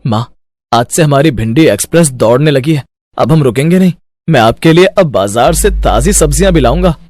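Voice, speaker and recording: A man's voice: fairly high pitch, lively intonation, a little fast, darkish tone, dry recording, studio quality. A man playing an earnest, hardworking young cook in a Hindi moral cartoon story, speaking emphatically. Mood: eager, determined. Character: earnest hardworking young son, a poor dhaba okra cook.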